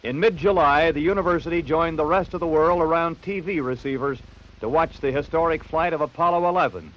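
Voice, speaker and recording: Higher-voiced American man narrating a newsreel. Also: fairly quick, fairly bright tone, low-quality recording. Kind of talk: scripted narration. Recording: late-1960s university newsreel soundtrack. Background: background music.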